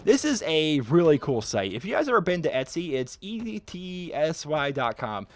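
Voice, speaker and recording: A man in his twenties from western Pennsylvania, sick with a raspy voice, chatting casually on a gaming vlog with lots of filler words. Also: on the high side, very animated, normal speed. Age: 20s. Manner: rambling, heavy filler use. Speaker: gaming YouTuber.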